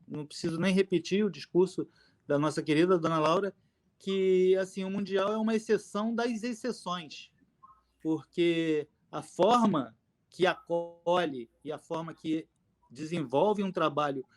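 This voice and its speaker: A middle-aged man from Minas Gerais with a mineiro accent, speaking earnestly and at a measured pace at a forum over a video call, with frequent fillers. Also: sits high. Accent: Brazilian Portuguese, Minas Gerais. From Minas Gerais, Brazil. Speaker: forum panelist, mouth painter. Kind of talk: panel talk at a public forum. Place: indoor room with his paintings behind him.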